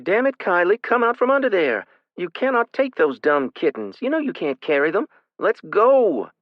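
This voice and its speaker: A man voicing a furious, bossy teenage girl who yells and scolds her little sister. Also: sits high, wildly swooping pitch, drawn-out words, no echo, lo-fi sound, voice pushed up, exaggerated voice. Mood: angry yelling. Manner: yelling, cussing, scolding.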